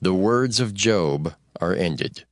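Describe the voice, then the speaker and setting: A man narrates scripture in a measured, solemn way. His pitch varies widely across phrases. The recording is dry and close. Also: lively intonation, no room sound.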